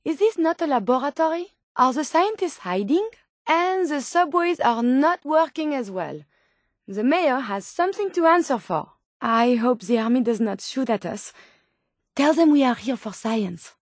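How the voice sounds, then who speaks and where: A high, dryly recorded voice of an earnest female robot scientist for a video game. She is endlessly curious and polite, choosing precise, bookish words with naive sincerity. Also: lively intonation, moderate pace, no room sound, studio quality. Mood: curious, matter-of-fact. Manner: precise, formal, bookish phrasing. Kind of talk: video game companion dialogue.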